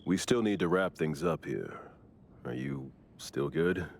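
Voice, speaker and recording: A stern, businesslike squad member in an anime game. He is low-pitched and gravelly, with a dry, unimpressed delivery that wastes nothing. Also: big pitch swings, a little slow, a little mellow, a little hiss or hum, heavily acted. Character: stern, matter-of-fact male squad member. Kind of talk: anime video game voice acting.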